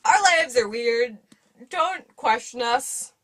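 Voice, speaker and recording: A woman's voice: fairly high pitch, lively intonation, slow, a bit bright, slight background noise. A young woman with a speech impediment that makes her sound like baby talk, chatting casually and rambling through a movie review with lots of fillers. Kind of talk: casual chat.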